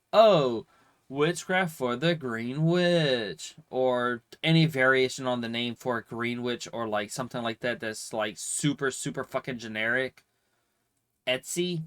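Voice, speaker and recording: A man's voice: mid-range voice, a bit bright, clear, resonant tone. A man with a slight cold and a stuffy, nasal voice, rambling casually with lots of fillers and swearing as a streamer answering viewer questions. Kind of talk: casual chat.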